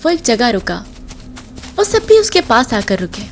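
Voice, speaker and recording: A woman's voice: a little high, big pitch swings, unhurried, faint music behind. A woman reading scripted narration for a horror audio drama. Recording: noisy track with loud ambience.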